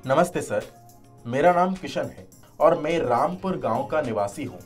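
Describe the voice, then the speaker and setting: A man's voice: fairly high pitch, animated, normal speed, soft background music, natural voice. A man playing an earnest, respectful villager in a cartoon moral story, speaking politely and formally.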